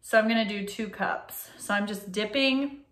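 A woman's voice: mid-range voice, moderate pace, a bit bright. A young woman chatting casually to viewers in an upbeat vlog, talking while she eats in her home kitchen. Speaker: vlogger.

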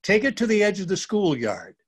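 A man voicing a wise, kindly owl schoolteacher in a children's storybook, measured and instructive. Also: high voice, huge pitch swings, husky, voice pushed up, full character voice. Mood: mysterious, encouraging.

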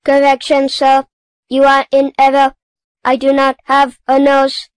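Small household robot for a kids' cartoon, played by a woman: high-pitched, utterly even, formal and pedantic. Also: a little slow, dry recording. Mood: officious, flat. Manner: formal, clipped, monotone correcting. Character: small literal-minded, officious household robot.

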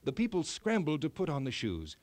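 A man narrating a children's fairy tale in an expressive, measured storytelling style. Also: fairly high pitch, no room sound.

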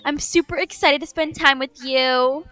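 High, bright, fake-sweet evil stepmother played by a woman. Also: steady tone, moderate pace, faint music behind. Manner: gushing, girlish, slangy. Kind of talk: improvised video game roleplay. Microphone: headset.

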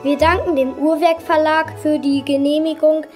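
A woman's voice: sits high, fairly even intonation, normal speed, faint music behind. A woman reading scripted credits as the announcer of an audio play. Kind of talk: scripted narration.

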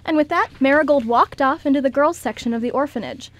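A woman's voice: high-pitched, fast talker. A woman reading scripted story narration as the narrator of an amateur radio drama.

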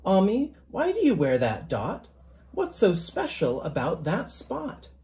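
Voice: medium pitch, huge pitch swings, measured pace, a little mellow. A storybook reader voicing a curious, eager young boy who asks wondering, pleading questions.

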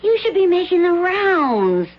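A woman's voice: very high voice, very animated, measured pace, full character voice. A practical, caring friend in an old radio play, chatty and matter-of-fact. Her voice moves up and down a lot. The recording is dull, like an old broadcast. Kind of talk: 1970s radio drama acting.

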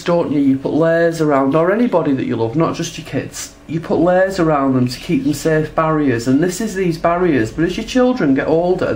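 A woman with a stuffed-up nose talks through a hands-on art demonstration at a table. Her delivery is chatty and unhurried, tossing in little tag questions as she sketches. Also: low-pitched, a little mellow, slight background noise. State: congested from a cold. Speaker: textile artist demonstrating her process. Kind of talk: casual tutorial narration while drawing. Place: indoors at a table.

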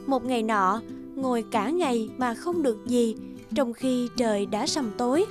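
A woman narrator reading a scripted fairy tale for a cartoon. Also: on the high side.

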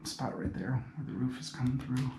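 A man's voice: mid-range voice, moderate pace. A young man narrating casually as he walks through an abandoned building, pointing things out as he goes. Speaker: urban explorer host. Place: large abandoned building, indoors, echoey. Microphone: body-worn action camera. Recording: echoey empty rooms, noisy background.

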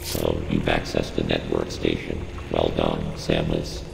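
Ship computer for a sci-fi game: profoundly low, heavily creaky, calm and formal adviser to a bounty hunter. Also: male voice, heavily acted. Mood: calm, detached, advisory. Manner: measured, clipped, formal, analytical. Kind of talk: scripted video game briefing. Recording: echoey long reverb under music.

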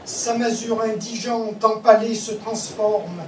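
A woman solemnly declaiming epic poetry in measured, incantatory short phrases. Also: a little high, fairly even intonation. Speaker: poetry reciter. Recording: echoey, distant microphone, clear background noise.

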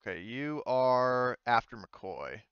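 A man running an online tabletop game as game master, casual and halting as he thinks aloud. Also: medium pitch, big pitch swings, smooth, dry recording, highs cut off. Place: indoors, online voice call.

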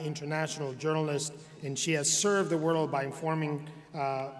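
A middle-aged man introduces a guest speaker at a university commencement, reading formally from a podium mic. His voice sits slightly high for a man, and his speech stops and starts with fillers. The long reverb of a large arena trails every phrase. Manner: formal, halting with frequent fillers. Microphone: podium microphone.

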